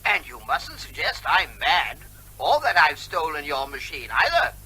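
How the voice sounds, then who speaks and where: An actor playing a mock-polite, evasive neighbour who needles and quibbles in breezy, pedantic fashion in a comic radio play. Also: male voice, on the high side, sing-song, measured pace, bright, forceful tone, husky, heavily acted. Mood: smug teasing. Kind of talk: comic radio drama acting.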